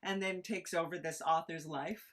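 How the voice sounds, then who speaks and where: A woman's voice: lowish voice, steady tone, moderate pace, close to an everyday voice. A woman playing an anxious, flustered podcaster in an audio drama read-through, hesitant and stammering as a reluctant sidekick. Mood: bemused.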